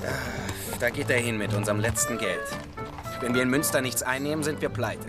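A man playing a plucky, commanding travelling actor in a German children's radio drama, theatrical and quick-witted. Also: medium pitch, natural voice. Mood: polite, wry. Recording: music behind the voice.

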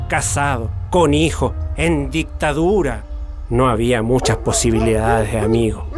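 A man's voice: mid-range voice, natural voice. A man with a Chilean accent playing a humble, resigned metalworker in a radio drama, hesitant and plain-spoken. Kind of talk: Spanish-language radio drama acting. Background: music.

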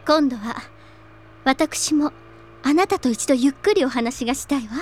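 A woman's voice: high voice, expressive, measured pace. A woman playing a proud rich teenage girl in an anime audio drama, imperious, with refined ladylike diction. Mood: sincere.

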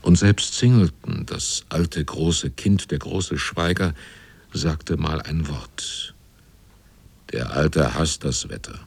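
An actor playing a grave seafarer who narrates a radio drama in measured, terse literary phrases with a husky voice. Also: male voice, heavily acted. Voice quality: very deep, husky. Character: grave seafarer recounting a hard voyage.

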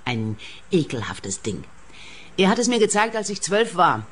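Bitter, swooping, scornful daughter. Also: female voice, fairly low pitch, very animated, unhurried, husky, exaggerated voice. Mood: indignant, bitter. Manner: indignant, cutting, dramatic. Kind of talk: radio comedy-crime acting.

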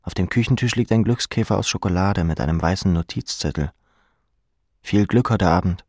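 A man's voice: sits low, moderate pace, a little mellow, no room sound, studio quality. A man reading scripted literary narration as an audiobook. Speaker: audiobook reader.

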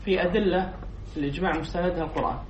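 A man teaches a class of students, reading out a text and explaining it line by line. His voice sits a little high for a man. It is picked up from across an echoey room with a dull, muffled tone and faint noise underneath. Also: racing, recorded from a distance. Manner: quizzes students, then repeats their answers.

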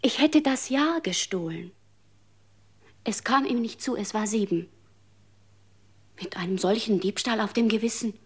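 An actress playing a grieving, honest mother who pleads and protests, moving from hushed whispers to raised insistence. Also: medium pitch, measured pace, long pauses, husky. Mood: anxious. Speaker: mother at a funeral. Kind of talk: radio drama acting.

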